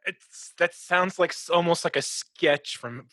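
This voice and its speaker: A man's voice: fairly high pitch, measured pace, pushed and bright, no echo. A man chatting casually as a podcast co-host in an informal, slangy way. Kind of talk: casual chat.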